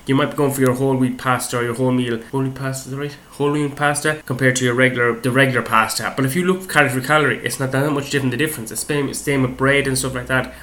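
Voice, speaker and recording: A young man talks at a brisk pace, hosting his own fitness show. His voice is a little croaky from a cold. He rambles loosely and swears now and then in a casual way. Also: mid-range voice, fairly even intonation, pushed and bright. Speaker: fitness podcast host. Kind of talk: casual solo chat.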